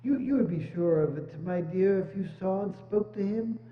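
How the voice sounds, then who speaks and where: A gentle, grieving Victorian father played by a man speaks in halting, stammering, tender phrases. It is a theatrical reading in a reverberant hall, with dull, muffled sound. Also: high voice, soft background music, close to an everyday voice. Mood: moved, halting. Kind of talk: dramatic reading of a Victorian classic.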